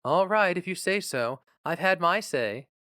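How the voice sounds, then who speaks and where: A man voicing a worried young wife who speaks hesitantly, affectionately and with gentle pleading. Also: high-pitched, lively intonation, a little slow, dry recording, clean studio sound, voice pushed up, full character voice.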